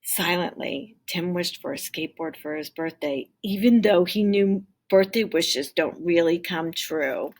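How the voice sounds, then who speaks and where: Low, husky-voiced woman reading a storybook aloud. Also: a little slow, few pauses. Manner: expressive, acts out dialogue. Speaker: teacher reading aloud to pupils. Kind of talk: storybook read-aloud with explanatory asides.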